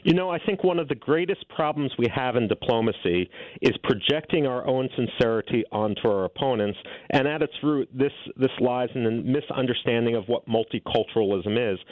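A man's voice: medium pitch, dry recording. A middle-aged man being interviewed as an author, arguing his points fluently and assertively. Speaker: guest author and policy analyst. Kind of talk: interview answers, policy argument. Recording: tinny phone-like line.

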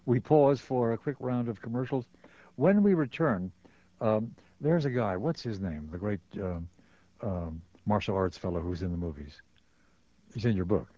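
A man hosting a radio interview, speaking in measured, formal phrasing. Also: fairly low pitch, expressive, dark tone, no echo. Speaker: radio host. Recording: dull lo-fi radio sound.

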